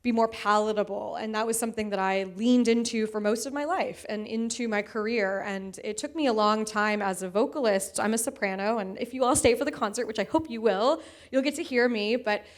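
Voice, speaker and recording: A woman's voice: fairly high pitch, fairly quick. Conversational woman, a soprano, on a panel. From United States. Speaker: soprano singer. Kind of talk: panel discussion.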